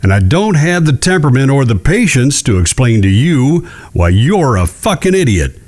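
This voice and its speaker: A weary, irritable frontier judge, played by a man in a Western audio drama. He drawls deliberately, with a lively melody and dry condescension. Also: medium pitch. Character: weary, irritable, sardonic Old West circuit judge.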